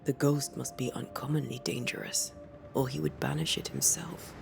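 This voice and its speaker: Grave, cautious female master ghost hunter, measured and serious, noticeably creaky voice, game drama with music behind. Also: rumbling low, fairly even intonation, heavily acted. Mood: grave, concerned. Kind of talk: naturalistic video game cutscene acting.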